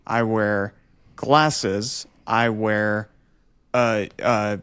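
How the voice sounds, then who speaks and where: A man's voice: lowish voice, steady tone, extremely slow, a bit bright, smooth, dry recording. Mock-serious man bantering in a dry room. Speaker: podcast co-host. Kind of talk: casual comedic podcast banter.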